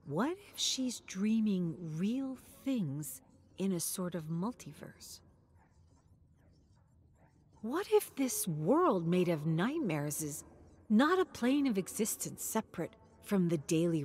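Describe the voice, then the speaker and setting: A woman narrator reading a scripted dramatic story in a measured, suspenseful way, with pauses. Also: on the low side, a little hiss or hum.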